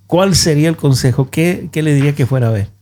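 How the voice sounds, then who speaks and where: A man's voice: on the high side, fast, dark tone. A man hosting a radio show, interviewing guests conversationally at a studio microphone. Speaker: radio host.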